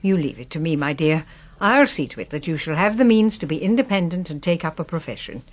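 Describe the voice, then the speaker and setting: An actress playing a proud, wistful English widow in her sixties who is ailing, in a solemn, measured radio drama style. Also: sits low, expressive. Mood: affectionate, earnest. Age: 60s.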